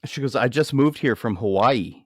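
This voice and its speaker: A man's voice: mid-range voice, animated, normal speed, breathy edge, dry recording. Profane storytelling man, dry sound. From Maine, US. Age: 30s. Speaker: podcast guest, mechanic. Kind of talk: casual chat.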